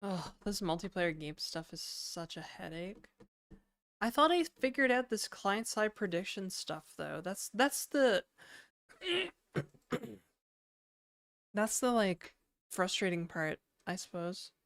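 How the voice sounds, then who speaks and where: A hoarse woman streamer thinking aloud casually as she codes live, swearing and full of fillers. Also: medium pitch, lively intonation, slow pace, long pauses, fairly bright tone, no echo, studio quality. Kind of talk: live coding stream commentary. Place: home apartment.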